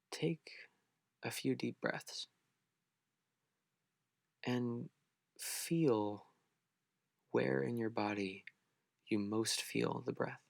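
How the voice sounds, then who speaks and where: Man, meditation instructor, gravelly low voice, gentle unhurried delivery, long pauses, very dry sound. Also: no room sound. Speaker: meditation guide. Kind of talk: guided meditation for beginners.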